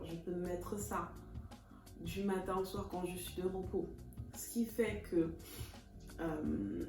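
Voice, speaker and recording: A woman's voice: lowish voice, a little mellow, recorded from a distance. A woman in her thirties from Kinshasa, introducing herself in a casual, chatty vlog, often laughing and slipping in English words. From Congo (Kinshasa). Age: 30s. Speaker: vlogger. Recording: echoey room, voice a bit off-mic, music behind.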